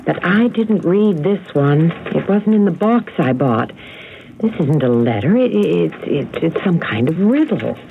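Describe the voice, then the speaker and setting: A woman's voice: fairly low pitch, very animated, unhurried, vocal fry, exaggerated voice. An actress playing a friendly, inquisitive woman helping with a mystery in a radio drama. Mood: puzzled. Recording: narrow, noisy old radio broadcast recording.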